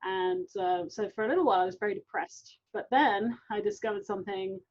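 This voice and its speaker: A woman's voice: medium pitch, brisk, darkish tone, lo-fi sound. A middle-aged woman with a London English accent, giving an informal, enthusiastic science talk. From London, England. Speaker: guest scientist, university professor. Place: online video call.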